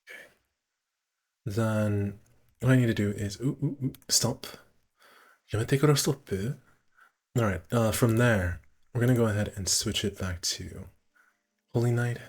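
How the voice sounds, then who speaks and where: A man's voice: fairly low pitch, measured pace, long pauses. A man casually streaming a visual novel, reading the narration aloud and correcting himself with muttered asides.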